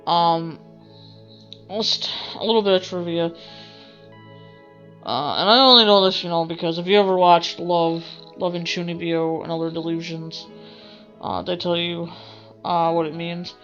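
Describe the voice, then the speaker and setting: A woman's voice: fairly low pitch, steady tone, a little slow, a bit bright, dull audio. A woman streaming a game, reading a visual novel aloud with informal, rambling asides. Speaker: gaming streamer. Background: game music.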